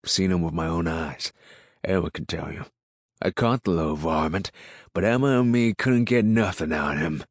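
A man voicing a gruff, ill-tempered rural farmer in an audiobook, grumbling in folksy, ungrammatical country speech. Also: low voice, moderate pace, dry recording, studio quality. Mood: indignant. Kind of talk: audiobook character dialogue.

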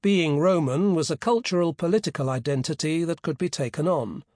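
A man giving a measured, precise scripted narration of a history book as an audiobook narrator, pronouncing foreign names with care. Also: fairly high pitch, darkish tone, dry recording, clean studio sound.

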